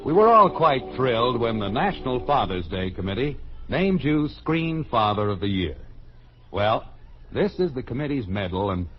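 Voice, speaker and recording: A man's voice: mid-range voice, sing-song, moderate pace. An American man announcing from a script in a polished, vintage radio sales style. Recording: muffled old radio broadcast, music behind. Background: music.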